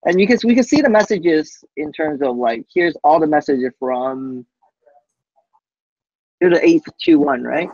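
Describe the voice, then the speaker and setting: A man giving a casual live software demo on a team call, speaking haltingly and often tacking "basically" onto sentences. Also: a little high, lively intonation, no echo. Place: coworking space, on a group video call. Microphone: video call microphone. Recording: muffled video call audio.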